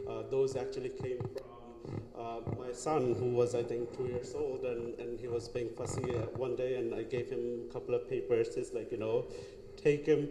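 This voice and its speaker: A young man from Bangladesh giving an artist talk in a gallery, hesitant, with many fillers and restarts. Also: medium pitch. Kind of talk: casual artist talk, answering questions. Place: gallery hall. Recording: echoey hall, noisy room.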